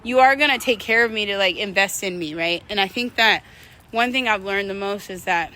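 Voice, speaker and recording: Bright-voiced young woman, candid and chatty. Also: medium pitch, brisk. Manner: conversational, frank, swearing, expressive. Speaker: vlogger. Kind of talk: candid personal storytelling to camera.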